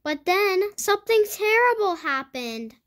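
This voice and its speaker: A woman reading a picture book aloud to children in an animated storytelling style. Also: extremely high pitch, a little slow. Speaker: children's storybook reader and host. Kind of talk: animated read-aloud narration for kids.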